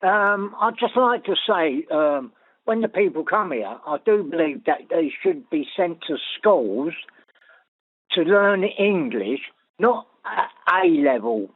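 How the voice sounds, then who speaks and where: A man calling in, speaking hesitantly but earnestly, with frequent pauses. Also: fairly high pitch, lively intonation. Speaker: caller. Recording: phone line into radio.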